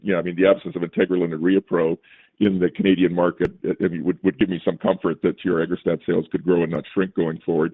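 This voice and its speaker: A man's voice: deep voice, husky, no room sound, phone-quality sound. A male analyst asking conversational questions on an investor call.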